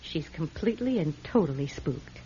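A woman's voice: low voice, lively intonation, moderate pace, warm tone, breathy edge, full character voice. An actress playing a flippant, smug, teasing woman in classic radio drama, with a breezy manner. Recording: dull, muffled old radio recording.

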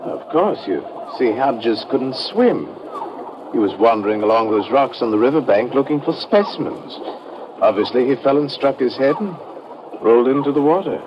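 An actor playing a courteous, pompous expedition scientist in a 1950s radio drama, speaking formally and in measured phrases. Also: male voice, mid-range voice, lively intonation, close to an everyday voice. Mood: solemn. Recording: dull noisy old radio recording.

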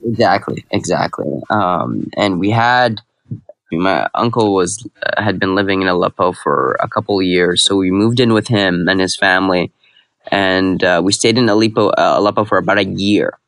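A man's voice: deep voice, normal speed, creaky, a bit bright, dry recording. A young man from Iraq, a guest in an interview, recounting his past in a reflective way with frequent restarts. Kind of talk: personal storytelling in an interview.

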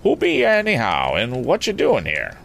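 An excitable rustic farmer of middle age or older, acted out by a man reading a storybook. He is suspicious and eager, with folksy exclamations. His pitch swoops dramatically, with some creak in the voice and faint noise behind. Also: normal speed, heavily acted. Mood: curious, blunt. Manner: folksy country talk, exclamatory.

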